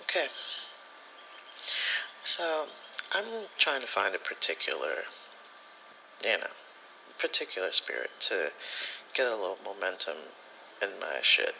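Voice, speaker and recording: A man's voice: sits low, big pitch swings, a little slow, gravelly, pauses often, sharp tone, slight background noise, poor audio. A man in a casual ASMR storytelling style, rambling and slangy, with profanity and acted-out bits of dialogue. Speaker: spiritual content creator.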